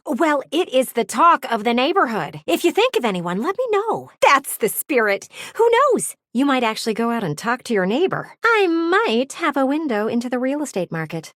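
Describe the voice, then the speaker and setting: A woman's voice: on the high side, no echo, clean studio sound. A woman voicing a gossipy, vain and dramatic talking house window for a video game, with a nosy, fretful, teasing manner. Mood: fretful, disdainful, then snarky, teasing. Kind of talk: video game character voice acting.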